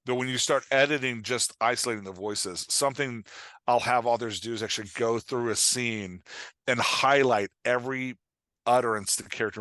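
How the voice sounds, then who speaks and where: A man talking as a coach and podcast guest, explaining things in an informal, animated way with acted-out example remarks. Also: on the low side, no echo. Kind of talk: casual explanatory podcast interview.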